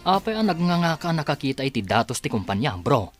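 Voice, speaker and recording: A man voices an easygoing supervisor in a radio play, teasing a coworker in a casual tone. His pitch swings widely, and music hums underneath. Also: big pitch swings, fast talker. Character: easygoing male office supervisor. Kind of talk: radio drama acting.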